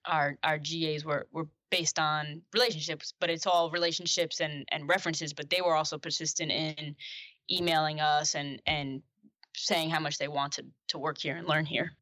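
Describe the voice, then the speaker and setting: A chatty young woman talking as a podcast guest, her voice bright and forward with a slightly low pitch. She speaks casually, full of restarts and fillers. The sound is close and dry. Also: steady tone, moderate pace, dry recording. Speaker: podcast guest, basketball staff. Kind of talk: casual interview conversation.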